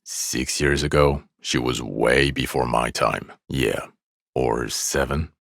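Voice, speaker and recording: An actor playing a jaded, bitter police detective in a video game, speaking with blunt, weary, deadpan sarcasm in a gravelly voice. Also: male voice, very deep voice, slow pace, dry recording, studio quality, exaggerated voice. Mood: weary, grudging. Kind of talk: dramatic video game dialogue.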